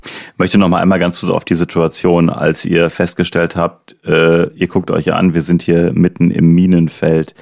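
A man hosting a podcast, asking his guest calm, measured questions. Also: deep voice, vocal fry, no room sound, studio quality. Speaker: podcast host. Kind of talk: interviewing.

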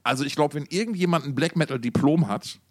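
A man's voice: on the high side, fast. A man co-hosting a casual podcast, telling anecdotes in a rambling, wry way with mock-formal asides. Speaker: podcast co-host.

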